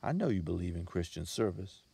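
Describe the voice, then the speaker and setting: A man's voice: sits low, very animated, brisk, vocal fry, mellow, breathy edge, exaggerated voice. Man playing a chirpy young Bible salesman, folksy, wheedling, earnest. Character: cheerful young male country Bible salesman.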